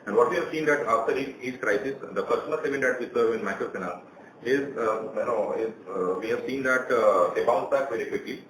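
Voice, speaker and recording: A man with an Indian accent answering as a bank executive on an earnings call, halting and repeating his words. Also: medium pitch, fairly bright tone. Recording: echoey, distant, faintly noisy conference line.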